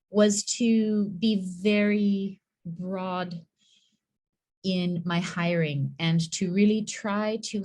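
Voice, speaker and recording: A woman who leads a school, giving a measured, reflective conference talk in a hall with the occasional soft laugh. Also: on the low side. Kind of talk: conference presentation.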